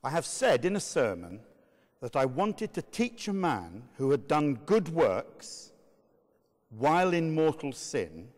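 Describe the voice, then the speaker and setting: A man reading a sermon as narrator in a measured, emphatic, oratorical way. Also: medium pitch. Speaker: narrator, reader. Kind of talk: dramatic reading of a medieval sermon. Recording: long reverberant hall echo.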